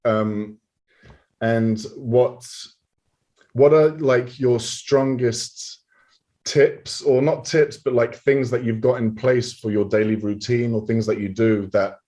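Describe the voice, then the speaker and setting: A man's voice: mid-range voice, steady tone, slow, warm tone. A man hosting a podcast, talking casually, affirming his guest and swearing lightly. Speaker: podcast host. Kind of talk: casual interview chat.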